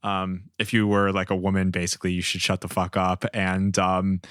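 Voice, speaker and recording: A man's voice: deep voice, completely flat delivery, normal speed, fairly bright tone, clear, resonant tone, no room sound, clean studio sound. A man hosting a podcast, talking in a casual, conversational way. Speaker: podcast host.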